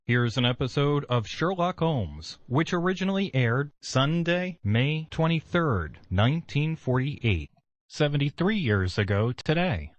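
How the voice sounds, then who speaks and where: Lively man announcing, dry studio sound. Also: on the high side, measured pace, dry recording, muffled recording. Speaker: station presenter. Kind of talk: scripted radio continuity announcement.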